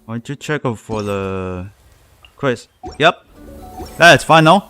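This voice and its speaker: A man doing casual let's play commentary on a live stream, talking in short, halting phrases. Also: mid-range voice, animated, bright, forceful tone, faint music behind.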